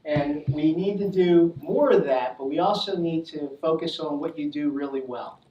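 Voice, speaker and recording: Upbeat guy hosting a college event, kinda high voice, echoey hall mic'd from far off. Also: moderate pace, mellow, dull audio, slight background noise. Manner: upbeat, joking with the audience. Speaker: college faculty host. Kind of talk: casual introductory speech. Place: lecture hall. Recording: roomy hall, picked up from a distance.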